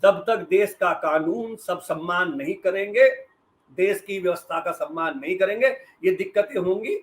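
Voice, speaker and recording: A man's voice: sits high, normal speed. A middle-aged man gives sarcastic, emphatic political commentary as a journalist on a debate panel. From western Uttar Pradesh, India.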